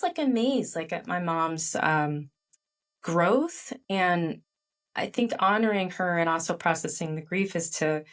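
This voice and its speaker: Animated storytelling woman, low voice. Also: recorded from a distance. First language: Chinese. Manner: animated storytelling with frequent "like" fillers. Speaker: guest author. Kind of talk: candid interview conversation.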